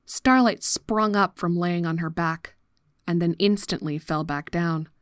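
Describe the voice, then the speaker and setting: A woman narrating a scripted horror story in a measured, dramatic manner. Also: fairly low pitch, no room sound, clean studio sound.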